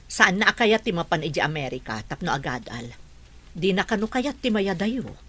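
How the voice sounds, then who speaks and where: A woman's voice: on the low side, big pitch swings, moderate pace, fairly bright tone. An actress playing a worried middle-aged mother in a radio drama, anxious and pleading.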